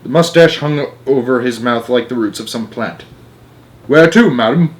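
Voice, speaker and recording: A man narrating a children's storybook aloud in an animated read-aloud style. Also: mid-range voice, normal speed.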